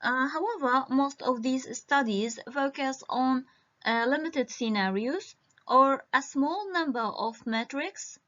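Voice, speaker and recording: Slow, halting woman presenting. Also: high-pitched, fairly bright tone. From Iraq. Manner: halting, with frequent hesitations. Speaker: conference presenter. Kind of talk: academic conference presentation. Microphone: video call. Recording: muffled lo-fi video-call audio.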